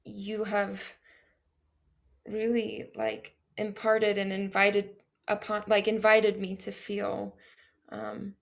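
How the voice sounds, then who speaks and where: Woman, discussion host, effusive and searching, slow delivery with some vocal fry. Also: mid-range voice, completely flat delivery. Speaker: host responding to a performer. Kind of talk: reflective post-show discussion.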